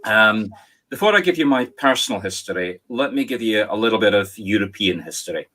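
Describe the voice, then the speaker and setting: A man with a Scottish accent speaks in a fairly low voice. He tells history as a winding story, often correcting himself mid-sentence. The sound comes through a video call. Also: lively intonation, brisk, sharp tone. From Scotland. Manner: discursive, storytelling, self-correcting. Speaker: spiritualist medium. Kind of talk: online talk, lecturing on history. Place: home, on video call with virtual background.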